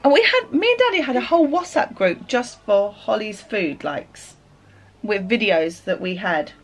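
A woman's voice: medium pitch, sing-song, normal speed. A woman in her thirties with an English accent, talking in a chatty, explanatory way in a casual family vlog. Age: 30s. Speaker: family vlogger, mother. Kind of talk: casual vlog chat to camera. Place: rooms in a family home. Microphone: camera at arm's length or nearby.

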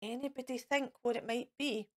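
A woman's voice: fairly high pitch, fairly even intonation, a little fast. A Scottish woman in her thirties presenting playfully to children as a fairy host, with an exaggerated, sing-song, coaxing and encouraging manner. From Scotland. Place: indoors in front of a fairy backdrop.

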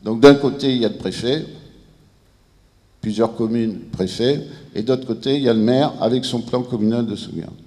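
A man in his fifties or sixties gives a formal but halting presentation as an official, searching for words, on a handheld microphone. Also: medium pitch, warm tone. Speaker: civil protection director presenting at a conference. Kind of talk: formal public presentation, semi-improvised. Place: large indoor conference room with seated audience. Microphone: handheld microphone held near the mouth. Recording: reverberant hall sound over handheld microphone.

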